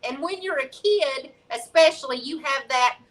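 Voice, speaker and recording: A woman's voice: sits high, moderate pace. A woman, chatting frankly and easily as a guest crafter. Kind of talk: casual chat. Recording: bright voice, distant from mic.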